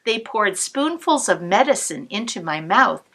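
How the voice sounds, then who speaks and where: Lady reading a kids book slow and expressive, voice goes up and down a lot, little whispers to the kids. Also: medium pitch. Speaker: cantor reading a picture book to children. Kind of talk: read-aloud storytime with asides to kids.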